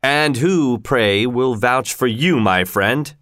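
A man's voice: mid-range voice, animated, slow pace, fairly bright tone, dry recording, studio quality. A man playing a stern, suspicious leader of citizen guards, with a commanding manner, in an audiobook story. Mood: challenging. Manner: commanding, challenging.

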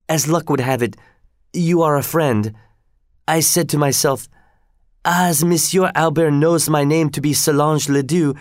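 A man's voice: on the high side, dry recording, clean studio sound, voice pushed up, natural voice. A man voicing a pretty, polite young French woman, frightened and pleading, in an audiobook story. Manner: pleading, excited, politely ironic.

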